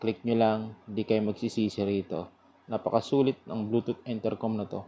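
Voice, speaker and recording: A man giving a casual product review in an informal, sales-pitch manner. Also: little pitch movement, moderate pace, mellow, dull audio.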